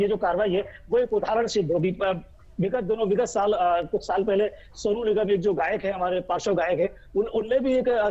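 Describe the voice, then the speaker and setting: A man reports live as a bureau chief. His voice sits high but has a soft, dark tone. He speaks emphatically and wordily, repeating phrases as he builds his point, and the link sounds dull and muffled. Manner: emphatic, wordy, repetitive phrasing. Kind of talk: unscripted live news reporting.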